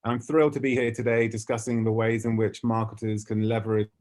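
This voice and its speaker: A man's voice: on the low side, little pitch movement, fast, a little mellow, a little hiss or hum. A British man giving a prepared business webinar presentation in a polished, articulate corporate manner. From United Kingdom. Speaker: conference speaker, ad tech company representative.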